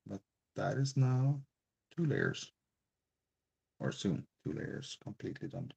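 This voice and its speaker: A man with a Swedish accent, native Swedish, chatting slowly and hesitantly on a crafting live stream, with frequent restarts. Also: fairly low pitch, sing-song, gravelly, darkish tone, breathy edge. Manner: slow, hesitant, rambling with many restarts. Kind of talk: casual live-stream chat while crafting. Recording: dull lo-fi stream audio.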